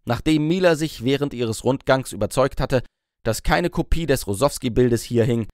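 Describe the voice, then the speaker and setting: A man's voice: mid-range voice, normal speed, no room sound. Male audiobook narrator, dry close sound. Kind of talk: scripted narration, storytelling.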